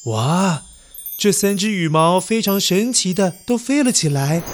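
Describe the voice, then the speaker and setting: A man narrating a children's story in an animated, scripted storytelling style. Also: high voice. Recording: music behind the voice. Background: music.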